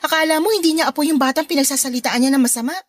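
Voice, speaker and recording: High-voiced, protective Filipino big sister, acted by a woman. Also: rapid-fire, natural voice. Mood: earnest advising. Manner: concerned, scolding on brother's behalf. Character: protective, caring older sister, a young mother. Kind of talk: Tagalog radio drama acting.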